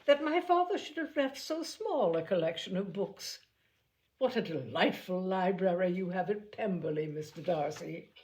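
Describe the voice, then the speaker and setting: A woman's voice: lowish voice, animated, moderate pace, a little mellow, lightly acted, rough recording. A woman voicing a snobbish, spiteful young society lady in an audiobook, with an exaggerated, haughty, emphatic delivery that gushes and scorns. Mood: gushing. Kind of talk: audiobook character acting.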